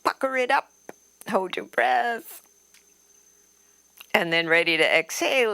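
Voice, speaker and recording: A woman teaching yoga nidra, guiding relaxation in a soothing, unhurried way that often trails off into breathy softness. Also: fairly low pitch. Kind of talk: guided relaxation meditation instruction.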